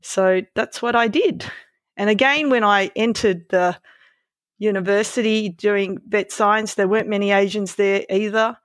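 A woman's voice: medium pitch, fairly even intonation, a little slow, no room sound. A woman in her fifties with an Australian accent, born in Malaysia, telling her story casually and candidly as an interview guest, with some self-deprecation. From born in Malaysia, moved to Australia around twelve.

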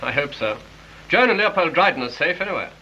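An actor playing an exasperated radio drama producer in a 1930s British film, with a clipped, impatient cut-glass English accent. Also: male voice, a little high, very animated, quick pace, pushed and bright, heavily acted. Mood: irritated. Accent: cut-glass upper-class English. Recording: muffled, hissy 1930s film soundtrack.

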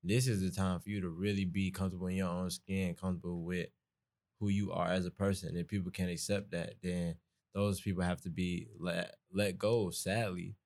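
Young Atlanta man with a gravelly voice. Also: deep voice, fairly quick. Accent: Southern US, Atlanta. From East Atlanta, Georgia. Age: young adult. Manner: relaxed, earnest, conversational, dialect grammar. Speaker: college student guest. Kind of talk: casual podcast conversation.

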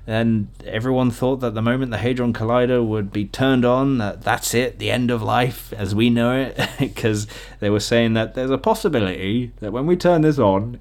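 A man's voice: medium pitch, darkish tone. A man talks through a casual podcast monologue in a mid-range voice. He is chatty and jokey, sounding like a host speaking informally to his listeners. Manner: jokey, laughs often at own remarks; acts out imagined quotes.

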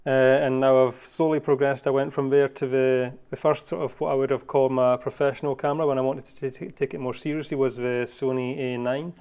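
A man talking as a guest in a casual interview, relaxed and easygoing. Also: mid-range voice, fairly quick, few pauses, smooth. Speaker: guest wildlife photographer.

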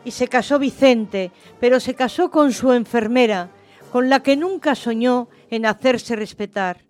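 A woman's voice: a little high, measured pace, a little mellow. A woman narrating a literary story for radio theatre in a scripted reading style.

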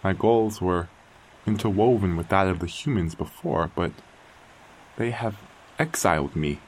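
A man's voice: low voice, lively intonation, a little slow, slight background noise, poor audio. A man playing an exiled artificial intelligence in an audio drama, formal and articulate, musing to himself like a wounded, precise inventor. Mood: methodical, aimless. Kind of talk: audio drama acting, log narration.

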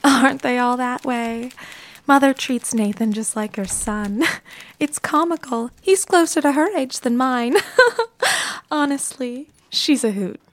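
Woman acting high-voiced, genteel, chatty bride-to-be. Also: a little slow, no echo. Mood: happy, gossipy. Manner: chatty, hesitant, giggly asides. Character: chatty, genteel bride-to-be, kindly office confidante. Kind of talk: period office radio drama acting.

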